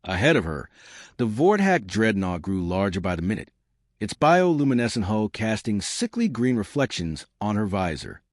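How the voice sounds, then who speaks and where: Low-voiced man narrating, very animated pitch. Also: moderate pace, dry recording, studio quality. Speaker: audiobook-style story narrator. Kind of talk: scripted narration of a sci-fi adventure.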